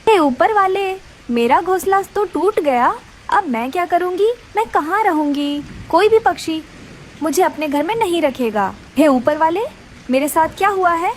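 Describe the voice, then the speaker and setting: Woman voicing a high, plaintive little female bird. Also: animated, unhurried, slight background noise. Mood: distressed, plaintive. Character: distressed, hardworking, polite young female bird. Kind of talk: Hindi children's cartoon character voice.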